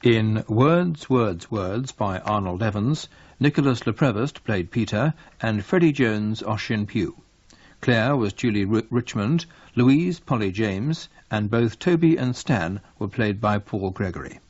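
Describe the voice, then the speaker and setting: A man's voice: lowish voice, lively intonation, unhurried, no echo. A man reading a scripted announcement as a radio continuity announcer. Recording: dull 1980s radio broadcast, dry.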